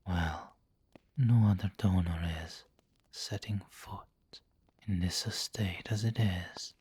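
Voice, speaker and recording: An aristocratic vampire lord, acted by a man, murmurs in a low, husky whisper for an ASMR roleplay. He is very slow and deliberate, with formal, snobbish refinement and a possessive, doting tenderness. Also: fairly even intonation, a little mellow, exaggerated voice. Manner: slow, deliberate, formal, pausing mid-phrase. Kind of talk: ASMR roleplay, intimate scripted monologue.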